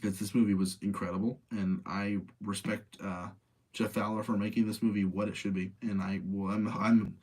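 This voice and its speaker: A man with a mellow, dark-toned voice of average pitch hosts a casual stream. He sounds tired, as if up late. He rambles through his opinions, often repeating himself while checking in with his audience. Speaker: streamer hosting a movie rating session.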